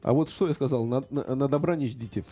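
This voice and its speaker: A middle-aged man with a very dark, mellow voice. He talks casually about politics and stumbles often, filling pauses and restarting his sentences. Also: medium pitch, husky, soft background music. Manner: hesitant, many fillers and restarts.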